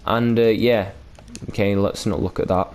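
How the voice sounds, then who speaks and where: A man's voice: lowish voice, steady tone, normal speed, darkish tone. A man hosting a gaming video with casual, unscripted commentary, rambling and hesitant with loose, sweary language.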